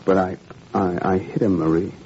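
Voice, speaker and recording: A man's voice: sits low, fairly even intonation, moderate pace, darkish tone. An actor playing an anguished but defiant father in a 1940s radio drama, earnest and weary. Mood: anguished, defeated. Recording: muffled, hissy 1940s radio recording.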